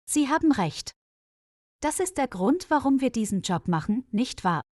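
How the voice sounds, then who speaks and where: A woman's voice: sits high, big pitch swings, moderate pace, darkish tone, no echo, clean studio sound, voice pushed up. Woman voicing a friendly, eager female nurse. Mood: reflective.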